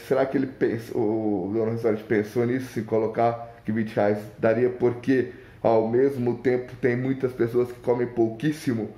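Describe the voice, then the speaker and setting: A young man from Minas Gerais with a mineiro accent talks through his thoughts in a loose, hesitant vlog monologue. His voice is mellow and soft-edged, and he circles back with fillers and restarts. The room around him rings noticeably. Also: medium pitch, reverberant. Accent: Mineiro Brazilian Portuguese. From Minas Gerais, Brazil. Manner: hesitant, thinking aloud with many restarts and fillers. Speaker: vlogger. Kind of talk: rambling casual monologue.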